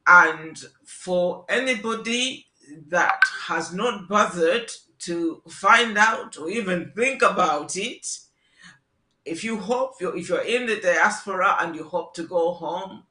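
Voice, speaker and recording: A man hosting a political call-in talk show, speaking earnestly and deliberately with frequent restarts. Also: high-pitched, bright, forceful tone. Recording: roomy echo.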